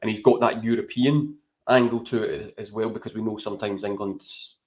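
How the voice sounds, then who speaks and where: A man's voice: lowish voice, steady tone, moderate pace, darkish tone. A Scottish man talking football on a podcast in a chatty, rambling way, often tagging his points with "right?". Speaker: podcast co-host. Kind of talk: casual football chat.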